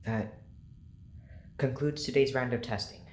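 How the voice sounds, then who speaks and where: A man's voice: medium pitch, measured pace, a little mellow, lightly acted. An actor playing a stern male scientist who runs an experiment, speaking formally and clinically in an audio drama. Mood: cold, formal. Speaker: experiment proctor. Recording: roomy echo, faint noise.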